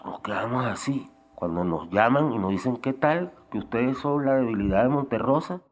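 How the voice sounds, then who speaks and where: A man's voice: medium pitch, animated, moderate pace. An older man telling anecdotes casually in an interview, with salty, colloquial language. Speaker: former guerrilla radio member giving testimony.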